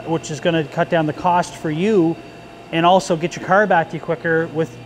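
A man's voice: a little high, a little hiss or hum. A man in his thirties, a shop foreman, talking casually to camera in a car dealership service bay, with plain everyday wording and frequent fillers and restarts.

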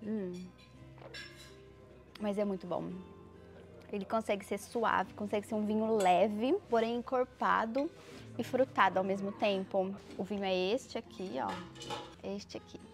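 A woman's voice: mid-range voice, steady tone, measured pace, pauses often, faint music behind. A young woman with a Brazilian Portuguese accent, chatting to camera as a travel vlogger while tasting food in a restaurant, on a lapel mic. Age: young adult. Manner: chatty, tasting aloud with murmurs. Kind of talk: casual food vlog to camera. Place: restaurant terrace, indoors.

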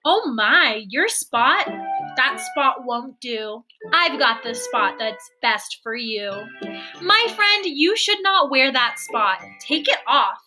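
A woman's voice: high-pitched, sing-song, slow pace, music in the background, exaggerated voice. A woman voicing bossy, insistent jungle animals from a storybook in a coaxing, sing-song way.

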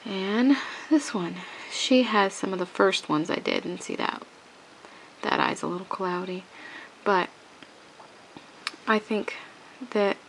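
Soft-spoken sniffly woman with a gravelly edge. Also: mid-range voice, very animated, a little hiss or hum, rough recording. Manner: rambling, halting, with many ums and restarts. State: sniffly with a cold. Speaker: craft tutorial maker. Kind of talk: casual hands-on tutorial. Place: home craft table, indoors.

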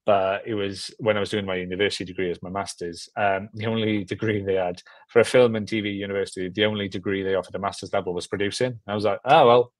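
Low-voiced young British man, dry close sound. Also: fairly even intonation, fast talker, hardly any pauses, no room sound. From Britain. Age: young adult. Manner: rambling, self-deprecating, repeats himself. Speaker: guest filmmaker. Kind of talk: casual interview chat. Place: room at home, on a video call.

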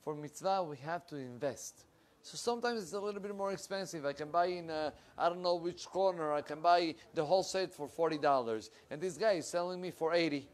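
Young male rabbi, animated lecturer with a higher voice, lapel mic. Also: a little fast, few pauses. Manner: animated, with big swings in pitch and loudness and lively hand gestures. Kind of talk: animated Torah class. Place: wood-panelled room with bookshelves.

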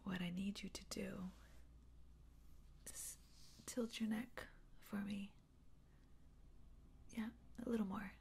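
Woman doing a playful young female vampire, very slow whisper, gravelly edge, close-up ASMR. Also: medium pitch, little pitch movement, slight background noise. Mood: teasing whisper. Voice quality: soft whisper. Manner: slow, drawn-out, playful taunting. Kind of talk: ASMR role play. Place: small indoor room. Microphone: close camera, face framed near.

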